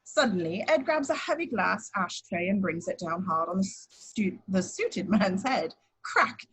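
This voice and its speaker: A woman's voice: mid-range voice, lively intonation, measured pace, slightly rough, poor audio. A woman reading scripted action descriptions as the narrator of a screenplay table read.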